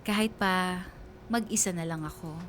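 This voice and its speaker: A woman's voice: on the low side, moderate pace, fairly bright tone, slight background noise, close to an everyday voice. A woman playing a hurt but resolute letter-sender, narrating her story in dramatic first-person radio style. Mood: determined. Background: background music.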